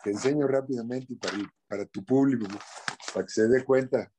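A man's voice: mid-range voice, brisk, noisy background. A middle-aged Mexican actor in a casual interview, speaking candidly with slang and repeating words as he searches for what to say.

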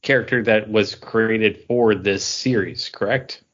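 A man's voice: on the low side, unhurried, fairly bright tone. A man co-hosting a podcast in casual chat. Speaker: podcast co-host. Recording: dull lo-fi audio with faint noise.

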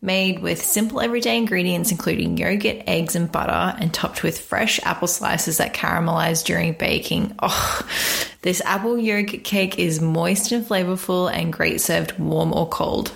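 A woman's voice: lowish voice, steady tone, moderate pace, vocal fry. A woman hosting a cooking podcast, chatty and bubbly, laughing often between points. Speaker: podcast host. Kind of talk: casual podcast chat.

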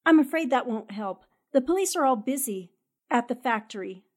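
A woman acts out a bold newspaperwoman in an old radio drama. Her high voice is very lively in pitch, assertive and insistent, firing out questions. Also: moderate pace. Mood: urgent warning. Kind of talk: 1940s radio drama acting.

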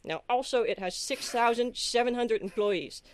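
A woman's voice: on the high side, moderate pace, pitched above the performer's own voice, natural voice. A woman voicing a comical middle-aged Dutch man with a Dutch accent, explaining figures step by step on a podcast.

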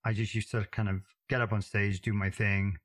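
A man from Wales with a Welsh accent, talking modestly in a casual interview, with frequent halting restarts. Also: fairly low pitch, level tone, noisy background. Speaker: drummer, interview guest.

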